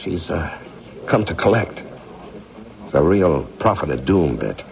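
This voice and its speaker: A low, gravelly American middle-aged astronaut commander, weary and uneasy. His delivery swings widely in the manner of eighties radio drama, on a muffled old broadcast with music behind him. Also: sing-song, unhurried, full character voice. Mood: troubled, confiding.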